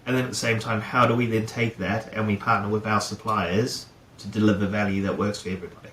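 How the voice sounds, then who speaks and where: Middle-aged man from New Zealand, low voice, rambling interview guest, echoey room with faint hum. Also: flat delivery, fast, fairly bright tone. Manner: rambling, many fillers and restarts. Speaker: guest, head of procurement. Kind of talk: casual interview chat.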